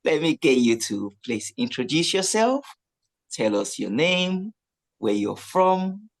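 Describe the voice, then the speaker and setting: A man's voice: on the high side, sing-song, slow, gravelly. A man hosting a radio interview, friendly and chatty, with frequent filler phrases. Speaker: radio host.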